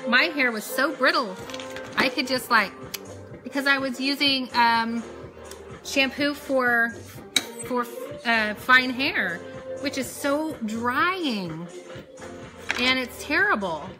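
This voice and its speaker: A woman's voice: high-pitched, expressive, takes it slowly, long pauses, over music. A woman in her thirties hosting a casual beauty live stream, chatting in a rambling way as she works. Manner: chatty, rambling, talks while doing hair and makeup. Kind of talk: casual live tutorial chat.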